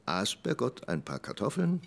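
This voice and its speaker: A man narrating a literary radio drama in a measured, deliberate storytelling manner. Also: on the low side, wildly swooping pitch, vocal fry.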